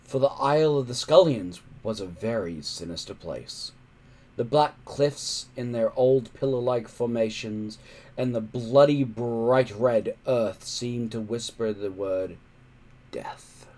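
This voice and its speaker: A man reading a children's adventure story aloud in a dramatic, suspenseful way, with emphatic pauses. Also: medium pitch, darkish tone. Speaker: storybook reader.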